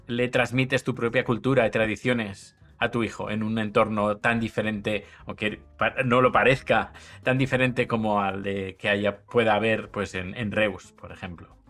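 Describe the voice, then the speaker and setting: A man's voice: lowish voice, a bit bright. Man, interviewing casually. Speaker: podcast host, interviewer.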